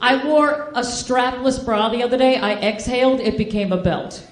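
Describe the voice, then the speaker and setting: A woman's voice: on the high side, normal speed, background noise. An American woman from Boston doing stand-up comedy, talking in an old-fashioned 1940s manner. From Boston, United States. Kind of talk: stand-up comedy to a live audience. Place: indoor live comedy show, audience behind. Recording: echoey live room with audience.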